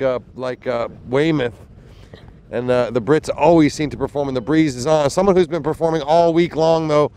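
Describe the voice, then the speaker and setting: A man's voice: on the high side, darkish tone, slight background noise. A man in his thirties giving chatty, enthusiastic live commentary on a sailing race from a small motorboat, speaking into a handheld mic with a windscreen. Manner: chatty, enthusiastic, many fillers and restarts. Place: small motorboat on open water, outdoors. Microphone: handheld mic with fuzzy windscreen.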